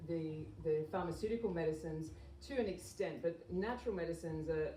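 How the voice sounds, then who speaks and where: A woman gives an informal, explanatory health talk in an earnest, conversational manner. Her voice is soft-edged and mellow. It is recorded from a distance in a reverberant room, with faint noise behind her. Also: sits low, a little fast. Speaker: naturopath and health educator giving a talk. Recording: echoey room, distant mic, background noise.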